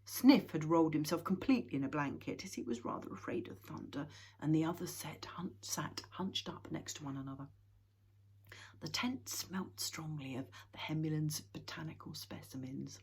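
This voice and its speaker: Breathy, whispery woman reading a storybook. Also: low voice, normal speed. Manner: hushed, often whispered narration.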